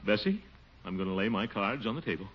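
An actor playing a pompous, easily flustered middle-aged city official in a 1940s radio comedy. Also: male voice, fairly low pitch, expressive, fast talker, close to an everyday voice. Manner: flustered, blustering, mutters to himself. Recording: muffled old radio broadcast with hiss.

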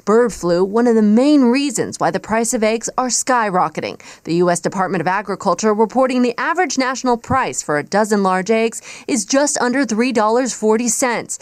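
A woman news reporter giving a broadcast report with a crisp, measured delivery. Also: a little high, animated.

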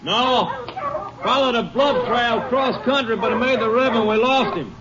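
A worn-out posse rider, hollering his report from a distance. His voice is pitched very high and strained. The sound is dull and narrow, like an old radio broadcast. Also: moderate pace, very noisy. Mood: frustrated. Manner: shouting from a distance. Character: weary male posse rider calling back. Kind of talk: 1940s radio acting.

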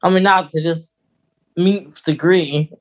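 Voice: medium pitch, fairly even intonation, takes it slowly. Rambling podcast co-host in casual chat.

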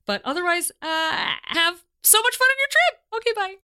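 A woman's voice: extremely high pitch, expressive, normal speed, over loud music. A woman hosting a podcast in a bubbly, chatty, upbeat way. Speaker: podcast host. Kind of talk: casual podcast chat.

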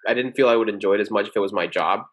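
Relaxed young man with filler-heavy talk. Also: fairly low pitch, very fast. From Venezuela. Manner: relaxed, many fillers and restarts. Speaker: guest, medical student. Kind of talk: casual interview chat.

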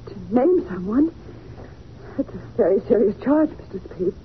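An actress playing a distraught, insistent new widow in a melodramatic 1940s radio drama. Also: female voice, medium pitch, lively intonation, normal speed, lightly acted. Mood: anxious, accusing. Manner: melodramatic, pleading, emphatic. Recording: scratchy, narrow-band old radio recording.

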